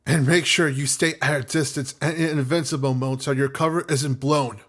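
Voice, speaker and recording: A man's voice: medium pitch, fairly even intonation, moderate pace, a bit bright, lightly acted. A man playing an anxious, caring space crewman in a sci-fi audio drama, speaking in an earnest, worried way. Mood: worried, urgent.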